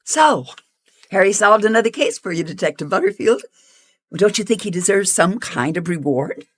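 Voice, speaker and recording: Polite, measured detective's associate. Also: female voice, mid-range voice, lively intonation, slightly rough. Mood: composed. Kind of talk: old-time radio mystery acting.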